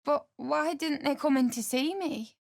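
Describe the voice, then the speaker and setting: A woman's voice: high-pitched, a little slow, vocal fry, no room sound, clean studio sound. A woman playing a distraught, pregnant young widow in a video game, emotional and pleading, swinging between grief and bitter anger. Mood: grieving, bewildered. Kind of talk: dramatic video game voice acting.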